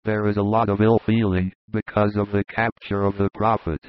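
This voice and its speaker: A man voicing a jovial, confident Roman centurion in an audiobook, in a low, confiding, easygoing voice. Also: steady tone, moderate pace, dry recording, natural voice. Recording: dull lo-fi dry recording.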